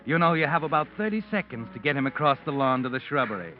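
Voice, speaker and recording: A man's voice: medium pitch, sing-song, fast, lightly acted. A man as ominous radio narrator over music. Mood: tense, ominous. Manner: insinuating, dramatic. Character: ominous, taunting omniscient mystery narrator. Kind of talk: scripted second-person radio mystery narration. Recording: muffled old radio recording, music behind.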